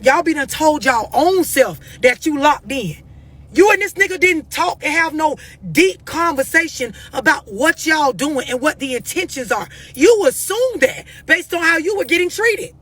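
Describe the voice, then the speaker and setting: A woman's voice: high-pitched, animated, normal speed, a bit bright. An American woman giving blunt, unscripted relationship advice on a live stream, confrontational and emphatic, pressing her questions insistently. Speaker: host of a live stream talking to followers.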